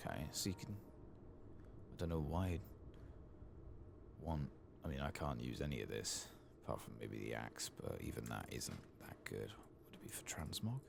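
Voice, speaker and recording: A man hosting a soft-spoken, whispered ASMR game playthrough, murmuring his thoughts aloud in a relaxed, unscripted way. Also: very deep voice, moderate pace, creaky, noisy background. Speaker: gaming video host.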